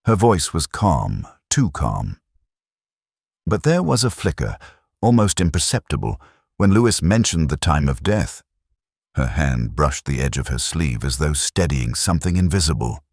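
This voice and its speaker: A man playing a brooding, literary police inspector who narrates his case in a measured, reflective, melancholic way, as in a scripted audio drama. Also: very deep voice, big pitch swings, a little mellow, slightly rough, no echo, studio quality, heavily acted. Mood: pensive, wary.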